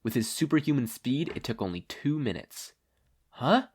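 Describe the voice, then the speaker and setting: A person narrating a story as an audiobook-style reading, with expressive delivery. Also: medium pitch, fairly quick, breathy edge. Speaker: fan fiction podfic reader.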